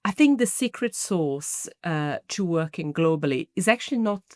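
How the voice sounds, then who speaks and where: A middle-aged woman from Brazil with a Brazilian Portuguese accent, answering podcast interview questions in a thoughtful, measured way with frequent restarts. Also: fairly low pitch, lively intonation, no echo, clean studio sound. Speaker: guest, marketing executive.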